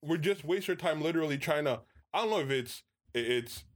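A man in a casual podcast discussion, speaking in a measured way and steering the talk back to his argument. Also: a little high, expressive.

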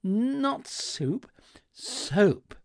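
A man acting out a flustered, indignant gentleman shopper in a comic story, sputtering and insistent. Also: high voice, sing-song, measured pace, creaky, dry recording, clean studio sound, pitched above the performer's own voice, full character voice.

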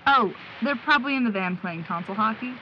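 A woman acting a steady, helpful young friend in an audio drama, with casual, natural delivery. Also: fairly high pitch, quick pace, natural voice. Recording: dull lo-fi audio, faint vehicle noise.

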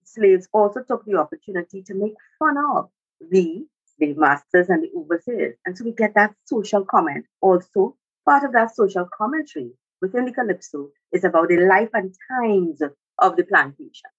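A woman's voice: mid-range voice, lively intonation, moderate pace, slightly rough, muffled recording. A woman with a Trinidadian accent speaks as an academic guest, explaining formally and restating points with emphasis. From Trinidad and Tobago. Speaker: academic guest, music sociologist. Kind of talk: explanatory interview talk.

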